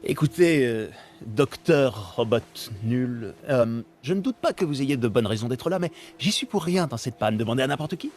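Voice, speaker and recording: A man's voice: medium pitch, lively intonation, normal speed, a little mellow, soft background music, lightly acted. A man playing an affable, skeptical small-town policeman in a film dub, with a casual, dryly sarcastic delivery. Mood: wry, polite. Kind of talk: French film fan dub acting.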